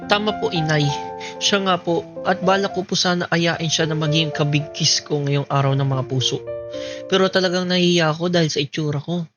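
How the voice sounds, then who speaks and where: A man's voice: fairly high pitch, steady tone, brisk, muffled recording, close to an everyday voice. A man acting as a shy, insecure young student in love in a radio drama, with a Filipino accent. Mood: nervous. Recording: music playing behind the voice.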